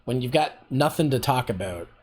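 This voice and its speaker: A man's voice: mid-range voice, lively intonation, a little fast, a little hiss or hum. A man hosting a casual voice acting workshop on a livestream, chatty and self-deprecating, often laughing at himself.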